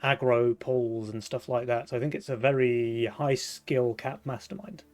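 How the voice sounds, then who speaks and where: A man's voice: mid-range voice, fairly even intonation, normal speed, darkish tone. Upbeat young male esports caster. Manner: upbeat, laughs often, runs the broadcast. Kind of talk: live esports commentary.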